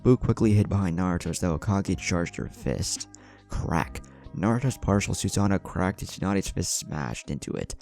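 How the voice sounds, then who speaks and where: A man's voice: low-pitched, lively intonation, normal speed, gravelly, a little mellow. A man narrating a scripted fan fiction story, with character dialogue mixed in. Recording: background music under voice. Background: music.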